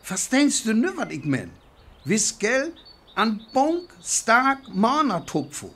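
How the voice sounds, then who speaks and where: Zealous schemer, radio play, North Frisian accent, grandiose, slow delivery, extremely animated pitch. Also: male voice, husky, heavily acted. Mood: scheming, persuasive. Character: zealous male survivor scheming to seize enemy war machines.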